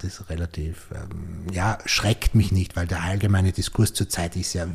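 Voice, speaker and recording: A man's voice: sits low, mellow, breathy edge. An Austrian man in a reflective podcast conversation, feeling his way through thoughts and repeating words as he goes. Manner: hesitant, repeats words while formulating thoughts. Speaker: podcast host and writer.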